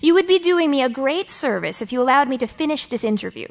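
Woman playing a male office interviewer, audiobook dialogue, formal, fussily polite, flustered, creaky edge. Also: a little high, expressive, normal speed, a bit bright. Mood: coaxing, businesslike. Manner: fussy, formal, hesitant phrasing.

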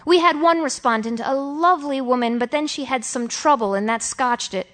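A woman's voice: sits high, normal speed, fairly bright tone, pitched above the performer's own voice. A woman voicing a flustered, wordy male office interviewer in an audiobook, with a fussy, formal and politely hesitant manner. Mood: harried, explanatory. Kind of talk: audiobook character dialogue.

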